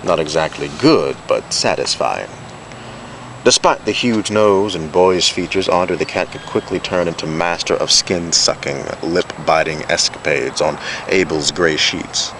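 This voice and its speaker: A man's voice: low-pitched, a little slow. A man reading literary fiction aloud in an amateur recording. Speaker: reader of a novella excerpt. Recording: amateur video with loud background noise.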